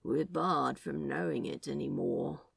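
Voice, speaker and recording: A woman voicing a weary, wry male demon in serpent form for a storybook, speaking in a laconic, low-key drawl. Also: sing-song, measured pace, gravelly, breathy edge, dry recording, heavily acted.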